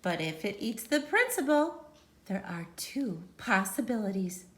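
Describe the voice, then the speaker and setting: A woman reading a picture book aloud like a teacher to children, theatrical and emphatic, with dramatic pauses and sudden swells. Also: mid-range voice, very animated.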